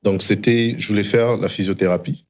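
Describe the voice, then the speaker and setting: Male interview guest, low register, reflective storyteller, slips English phrases into French. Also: fairly even intonation, brisk, darkish tone. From DR Congo and Canada. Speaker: interview guest, tech founder. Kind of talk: reflective personal storytelling in conversation.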